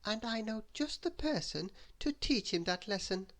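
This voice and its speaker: A woman's voice: mid-range voice, lively intonation, moderate pace, pitched above the performer's own voice, lightly acted. A woman voicing a prim, tidy little female storybook character who speaks in a decided way.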